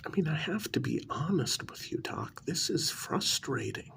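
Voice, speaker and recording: A man playing a smug, deluded asylum inmate in a soft-spoken ASMR role-play, breathy and half-whispered, talking down to his listener in a halting, condescending way. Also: a little high, big pitch swings, a little hiss or hum, heavily acted. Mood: patronizing, let down. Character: deluded male asylum inmate, smugly convinced he created the world.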